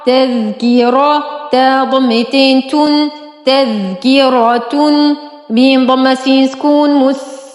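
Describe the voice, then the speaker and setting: Female teacher, very measured pace, deliberate drawn-out syllables for a phonics drill, reverberant sound with music behind. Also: sits high, flat delivery. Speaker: Quran reading teacher. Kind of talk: slow spelling-out Arabic phonics drill.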